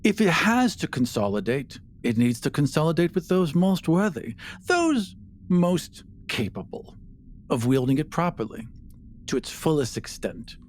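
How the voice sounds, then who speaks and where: A man playing an entitled, self-important adventurer in a tabletop game, speaking in a deliberate, grandiose, pompous way. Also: fairly high pitch, sing-song, a little mellow, slight background noise, pitched above the performer's own voice. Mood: haughty, self-righteous. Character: entitled, self-important adventurer who believes he deserves power.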